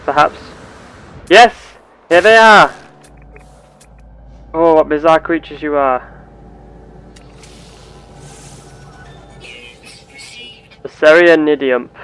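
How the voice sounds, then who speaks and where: A man playing a genteel space captain and explorer, speaking in formal, measured, old-fashioned wording as he narrates a game in character. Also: on the high side, smooth, poor audio. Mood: curious, delighted.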